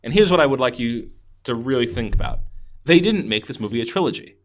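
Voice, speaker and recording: A man's voice: mid-range voice, animated, fast talker. Enthusiastic, rambling man chatting casually. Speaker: podcast host. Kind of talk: casual film review chat.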